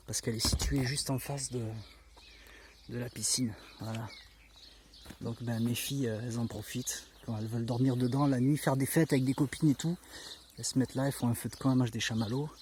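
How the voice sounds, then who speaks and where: A middle-aged man with a southern French accent from the Var talks easily and chattily, voice sitting at an ordinary mid pitch. He is filming himself outdoors in the countryside on a handheld camera. Faint birdsong and rural ambience drift in behind him. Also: a little hiss or hum. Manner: chatty, relaxed, frequent fillers. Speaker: vlogger. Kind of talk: casual walk-through tour.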